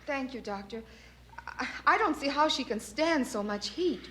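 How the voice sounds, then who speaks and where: Anxious, high-voiced, husky young mother. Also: lively intonation, bright, forceful tone, exaggerated voice. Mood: worried, doubtful. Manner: halting, pleading, emotional. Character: anxious, devout young mother of a sick baby. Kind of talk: old-fashioned radio drama acting.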